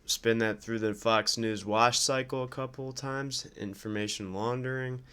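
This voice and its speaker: A man doing casual political reaction commentary, sardonic, incredulous and quick to swear. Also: medium pitch, steady tone, bright, forceful tone, smooth. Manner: sardonic, incredulous, foul-mouthed. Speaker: streamer commenting on news clips.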